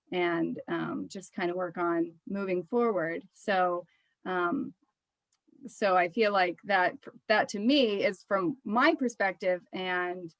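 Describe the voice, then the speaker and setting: A woman therapist speaks at a middling pitch in a thoughtful, hesitant way, with many ums. The sound is dry and close. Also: no echo. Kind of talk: casual conversation.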